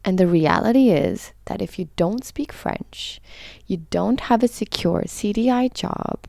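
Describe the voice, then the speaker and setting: A woman hosting a podcast, giving an expressive, playful introduction and then interviewing a guest. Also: medium pitch, measured pace, vocal fry, no echo, clean studio sound. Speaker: podcast host.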